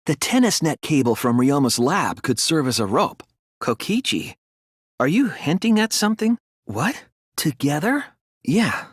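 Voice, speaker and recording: An earnest young male detective from an anime video game. His voice is husky and swings widely in pitch as he reasons things through with deliberate care. The recording is dry and close, with no room sound. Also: sing-song, no room sound, full character voice. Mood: earnest, reasoning, then questioning. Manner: earnest, deliberate, deductive, sometimes hesitant. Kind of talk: dramatic anime game dialogue.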